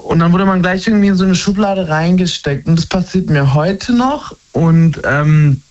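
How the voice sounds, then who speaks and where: Young man in his twenties, a guest speaking openly; slightly higher voice, halting and full of fillers, recorded muffled. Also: darkish tone. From Germany. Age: 20s. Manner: hesitant, many fillers and restarts. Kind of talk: candid personal conversation. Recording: dull lo-fi sound.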